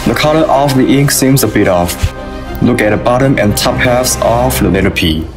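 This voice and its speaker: A man playing a shrewd, self-assured gentleman thief who investigates with knowing questions, in an amateur radio drama. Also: mid-range voice, expressive, normal speed, lightly acted. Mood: shrewd, probing. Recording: faint music behind the voice.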